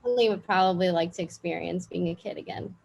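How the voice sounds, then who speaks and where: A woman's voice: fairly low pitch, very fast, creaky, poor audio. A woman who works as a community coordinator, chatting casually in an online meeting.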